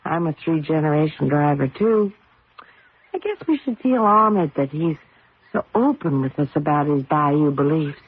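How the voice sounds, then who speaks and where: A woman's voice: sits low, lively intonation, normal speed, breathy edge, no echo, exaggerated voice. An actress playing a confident young wife and mother who races cars, in a radio drama with some first-person narration. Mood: easygoing. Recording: noisy, tinny old radio broadcast.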